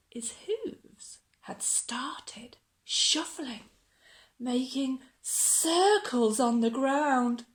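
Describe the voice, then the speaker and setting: A woman reading a children's story aloud like a teacher, with expressive, dramatic storytelling. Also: high-pitched.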